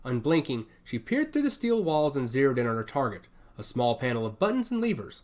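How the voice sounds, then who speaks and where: A male narrator delivers scripted fiction with expressive, descriptive storytelling. His mid-range voice moves up and down a great deal as he tells the story. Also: medium pitch, a little fast.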